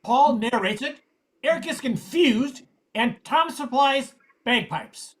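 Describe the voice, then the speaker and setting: A man's voice: very high voice, takes it slowly, fairly bright tone, heavily acted. An older man playing a brash, sardonic comedy writer in an amateur radio comedy. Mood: mocking, brisk. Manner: sardonic, quippy.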